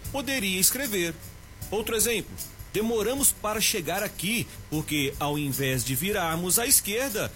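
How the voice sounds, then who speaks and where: Animated mineiro man teaching Portuguese. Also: sits high. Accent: Minas Gerais. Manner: didactic, slows down to stress examples. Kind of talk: radio language tip.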